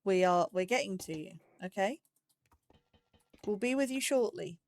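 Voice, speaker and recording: A woman's voice: mid-range voice, animated, moderate pace, darkish tone, no room sound. A woman giving casual let's play commentary, chatting and musing aloud in a self-deprecating way. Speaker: gaming YouTuber, host of a let's play series.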